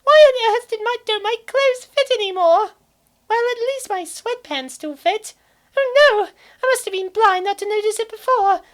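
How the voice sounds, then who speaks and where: Woman playing very high-voiced, naive college girl. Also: normal speed, fairly bright tone. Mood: exasperated. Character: cheerful naive college woman narrating her weight gain. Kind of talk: comic dub character acting with narration.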